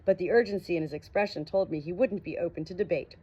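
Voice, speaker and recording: A woman's voice: fairly low pitch, fast talker, mellow, breathy edge. A woman reading a first-person novel aloud as an audiobook narrator. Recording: dull, muffled lo-fi audio.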